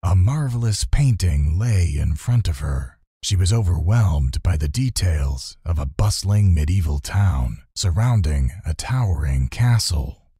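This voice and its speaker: A male audiobook narrator with a deep, very husky, mellow voice. He reads children's fantasy in a measured storytelling style, recorded close and dry. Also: animated, no room sound.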